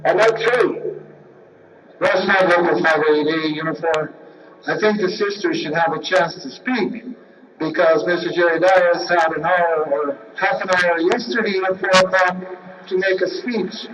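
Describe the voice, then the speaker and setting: A man raises a point from a floor microphone in a plain, hesitant way. His fairly high voice sounds distant and dull, with heavy echo from the hall. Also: steady tone, measured pace, a bit bright. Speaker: delegate. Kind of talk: speaking from the convention floor.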